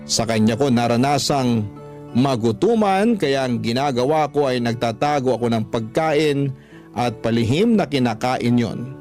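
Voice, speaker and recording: A man's voice: mid-range voice, unhurried, a little mellow. Male storyteller narrating over music. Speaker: radio drama storyteller. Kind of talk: scripted first-person dramatic narration. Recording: music under the voice.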